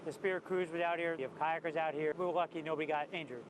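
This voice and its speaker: A male police officer speaks casually in an outdoor interview. He talks fast, with clear outdoor noise behind him. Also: a little high, low-quality recording.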